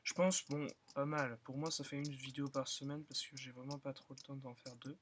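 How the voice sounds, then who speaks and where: Young man, slightly hoarse, rambling self-talk, middling pitch, loud keyboard clatter. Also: little pitch movement, fairly quick, slight background noise. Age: young, still in school. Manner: rambling, thinking aloud with many fillers. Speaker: gaming channel host. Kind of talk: casual gameplay commentary. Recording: loud keyboard and mouse clicking.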